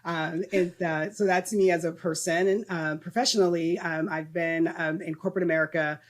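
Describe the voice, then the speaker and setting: A woman's voice: on the low side, fairly even intonation, normal speed. Chatty middle-aged woman from socal, guest on a podcast, lots of um and restarts. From Southern California. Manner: chatty, many ums and restarts, laughs easily. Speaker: guest, nonprofit executive. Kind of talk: casual podcast interview.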